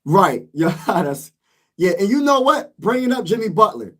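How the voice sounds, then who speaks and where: Hoarse guy going off about the game, voice sits pretty high, keeps repeating himself. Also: big pitch swings, normal speed, fairly bright tone. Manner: frustrated, emphatic ranting with repeated phrases. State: losing his voice. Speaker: sports vlogger. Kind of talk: casual sports rant.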